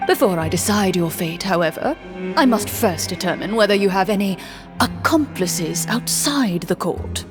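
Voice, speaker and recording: Woman acting a video game storyteller, mid-pitched, formal, measured, theatrical, slightly reverberant, faint music underneath. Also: animated, close to an everyday voice. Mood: coldly dismissive. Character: game master narrating and playing a suave, scheming prime minister. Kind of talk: tabletop game narration in a video game.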